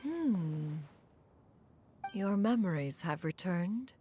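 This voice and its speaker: A woman's voice: lowish voice, animated, drawn-out words, over music. An actress playing a proud, learned immortal woman in a video game, speaking formally and precisely. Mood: composed. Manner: formal, haughty, precise, says "one" for herself.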